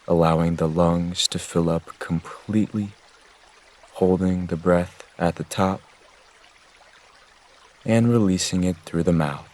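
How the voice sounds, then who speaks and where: A man gently guiding a meditation with measured, soothing instructions. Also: low-pitched, steady tone. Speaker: meditation guide. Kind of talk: guided meditation narration.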